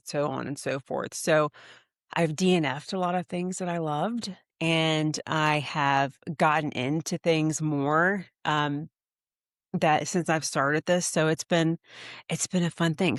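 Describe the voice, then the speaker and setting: A woman's voice: low-pitched, no room sound, clean studio sound. A woman and podcast co-host chatting on a video call, rambling and often restarting her sentences. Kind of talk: casual podcast chat.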